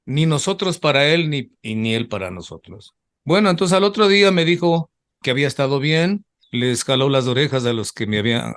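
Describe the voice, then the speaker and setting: Older man, former radio announcer. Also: mid-range voice. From Ecuador. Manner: rambling storyteller, trails off with repeated asides. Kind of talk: reminiscing in an interview.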